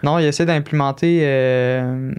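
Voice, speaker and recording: A man's voice: mid-range voice, unhurried, smooth. A young man from Quebec with a Québécois French accent, a triathlete guest talking candidly and hesitantly in a casual interview. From Quebec, Canada. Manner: hesitant, many fillers, relaxed and candid.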